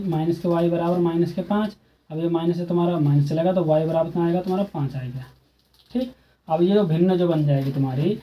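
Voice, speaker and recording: A man's voice: high-pitched, warm tone, rough recording. A man teaching a school maths exercise, speaking casually and repeating steps while asking students questions. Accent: Hindi. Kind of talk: explaining a textbook math exercise.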